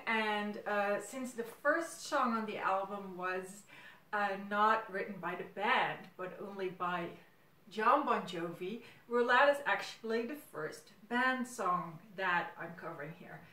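A woman's voice: mid-range voice, bright, forceful tone. Dutch-native woman, roomy and distant. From Netherlands. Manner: hesitant, thinking aloud. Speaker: video series host. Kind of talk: casual monologue to camera. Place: small room at home, indoors. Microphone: camera several feet away. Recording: roomy, distant camera microphone.

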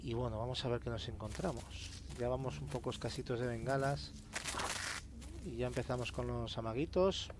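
A man with a Castilian Spanish accent giving casual, informal gameplay commentary as a let's play host. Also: mid-range voice. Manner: informal, sweary, grumbling to himself. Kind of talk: casual gameplay commentary, reads in-game notes aloud.

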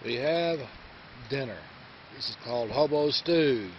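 A man's voice: medium pitch, measured pace. A man casually narrating a home video of his forest campsite, explaining things step by step for viewers. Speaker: camper filming a vlog. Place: outdoor forest campground by a river. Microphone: handheld camera mic. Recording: dull home-video audio with faint outdoor hiss.

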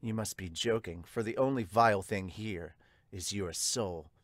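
A man voicing a composed, cold female samurai in an amateur manga dub, speaking formally and with quiet disdain. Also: lowish voice, measured pace, dry recording, studio quality, pitched below the performer's own voice, natural voice.

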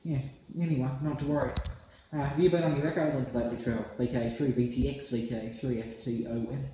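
A man's voice: medium pitch, steady tone, fast talker, dark tone. A young Australian man chatting casually as an amateur radio operator, using radio jargon. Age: young adult. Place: small indoor room. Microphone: phone at arm's length. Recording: roomy echo, slight hiss.

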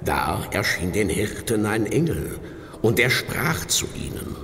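A patient play director in a radio drama. His voice is deep and very varied in pitch as he instructs gently, ringing in a large hall. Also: sing-song, a little slow, slight background noise, full character voice. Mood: patient, instructive. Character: patient male director of a nativity play rehearsal. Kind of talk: audio drama acting. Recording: long echo of a large hall.

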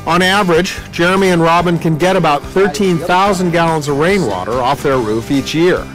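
A man hosting a home renovation show, asking quick joking questions outdoors and narrating voice-over. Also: fairly high pitch, over music, poor audio. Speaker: TV host. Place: outdoor backyard.

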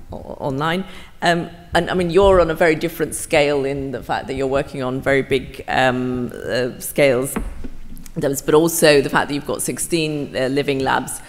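A woman's voice: low-pitched, steady tone, a little slow. Woman moderator in a reverberant hall. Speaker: panel moderator. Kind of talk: moderating a conference panel. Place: lectern in a large conference hall. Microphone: lectern mic. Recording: long reverb of a large hall.

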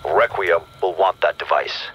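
An actor playing a gruff, commanding military strike team handler in a video game, speaking forcefully. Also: male voice, low voice, unhurried, highs cut off. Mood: firm command. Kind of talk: video game cutscene acting.

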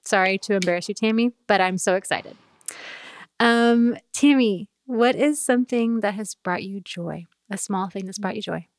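Woman host, bubbly, excitable, chatty interviewer, mid-pitched, dry booth sound. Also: normal speed, no echo. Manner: bubbly, enthusiastic. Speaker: podcast host. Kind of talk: warm interview chat.

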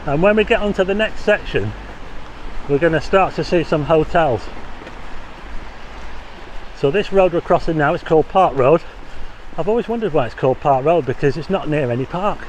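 A man's voice: a little high, expressive, normal speed, long pauses, a little hiss or hum, rough recording. A man casually narrating a walk outdoors on a rainy street, chatty, asking viewers questions and making wry asides. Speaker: walking tour vlogger. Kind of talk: casual walking commentary.

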